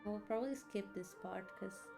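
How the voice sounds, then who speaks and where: A woman streamer gives casual let's play commentary in a mid-pitched voice. She talks in a halting, offhand way, with game music clearly audible behind her. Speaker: VTuber streamer. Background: video game music.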